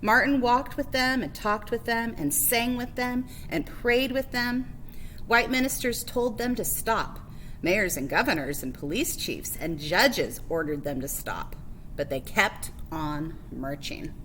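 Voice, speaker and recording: A woman's voice: on the high side, animated, measured pace, a bit bright, slight background noise, low-quality recording. A woman reading a children's picture book biography aloud as a librarian, phrase by phrase with emphasis on key words.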